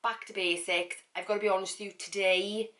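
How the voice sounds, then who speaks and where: A woman talking casually to camera in a vlog, with a croaky voice and a chatty, frank manner full of fillers and repeats. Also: mid-range voice, fairly even intonation, brisk, a bit bright. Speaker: vlogger.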